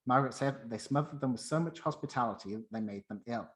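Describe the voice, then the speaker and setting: A man with a medium-pitched voice presents from a script. He narrates as a presenter would, telling the story line by line. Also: quick pace.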